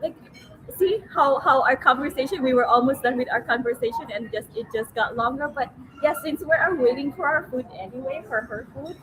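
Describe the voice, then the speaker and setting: A woman's voice: high-pitched, brisk. A woman in her forties hosting a casual livestream in a chatty, giggly storytelling manner. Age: 40s. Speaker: livestream host, online business owner. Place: restaurant, waiting for food. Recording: noisy ambience of a meal venue.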